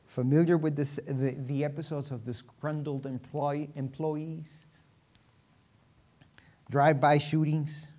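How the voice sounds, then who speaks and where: A woman preacher from Venezuela with a low-pitched voice delivers a sermon. She leans on key phrases with dramatic pauses and puts rhetorical questions to the congregation. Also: fairly even intonation, mellow.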